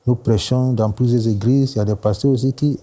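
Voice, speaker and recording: A man in his forties from Ghana, a pastor whose first language is English, speaking with a Ghanaian accent in a measured studio interview, often answering with short agreements. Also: medium pitch, steady tone, warm tone. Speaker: pastor and guest.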